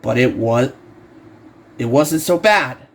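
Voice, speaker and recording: A man reading a horror story aloud as an amateur narrator, halting and often stumbling over and repeating words. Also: mid-range voice, animated, gravelly.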